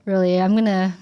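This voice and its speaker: A woman's voice: medium pitch, a little mellow, smooth. A woman chatting casually on a live stream and reading out chat messages, her voice worn out and fading. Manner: chatty, reads chat aloud. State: losing her voice.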